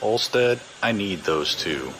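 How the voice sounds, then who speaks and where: A man's voice: lowish voice, animated, slow, a bit bright, slight background noise, rough recording. A man playing a stern, menacing corrupt police chief, curt and commanding, in an audio drama. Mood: menacing, firm. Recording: music behind the voice.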